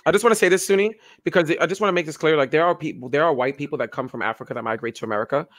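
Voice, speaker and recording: A young man co-hosting a casual political chat, talking in an animated way and acting out the people he quotes. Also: very fast. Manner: animated, acts out quoted voices with pitch jumps. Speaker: podcast co-host.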